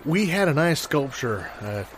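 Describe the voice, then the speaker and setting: A man playing a circus showman who tells absurd tall tales in a deliberate, deadpan way, as improvised comedy. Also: a little high, slight background noise, low-quality recording. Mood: deadpan storytelling.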